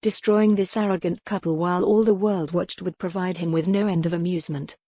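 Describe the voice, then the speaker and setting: Female audiobook narrator, lowish pitch, dry recording, dramatic scripted storytelling for dark period romance. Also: steady tone, fairly quick, dry recording.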